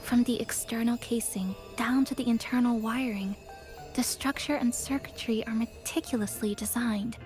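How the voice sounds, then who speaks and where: A woman voicing a shy, studious young student in a video game, hesitant, precise and soft-spoken. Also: a little high, steady tone, normal speed, soft background music, natural voice. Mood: quietly admiring. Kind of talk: game voice acting.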